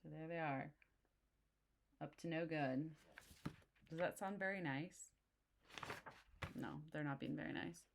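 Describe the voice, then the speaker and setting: A woman with a low voice reads a picture book to young children. Her narration is expressive and simple, broken by short explaining asides to the listeners. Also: measured pace, vocal fry, pauses often, slight background noise. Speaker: storybook reader.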